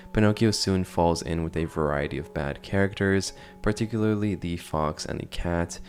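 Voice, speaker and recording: Very deep-voiced man giving commentary. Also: a little mellow. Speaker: video narrator and reviewer. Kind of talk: casual analytical commentary on horror videos.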